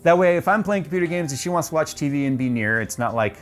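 A man's voice: on the high side, expressive, quick pace. Chatty man giving a room tour. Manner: chatty, casual, many ums and restarts. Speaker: tech YouTuber. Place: home game room, indoors. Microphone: lapel mic.

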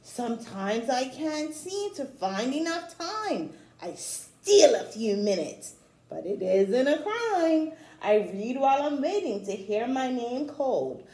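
A middle-aged woman reading a storybook aloud to young children, with chatty asides, in an expressive, encouraging way. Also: high-pitched, takes it slowly. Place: small room at home, indoors. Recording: echoey small room.

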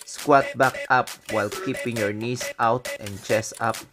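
A man's voice: medium pitch, fairly even intonation, unhurried. A man in his twenties with a Filipino accent giving step-by-step workout instructions in short phrases as a fitness coach. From Philippines. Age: 20s. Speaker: fitness coach and video host. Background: music.

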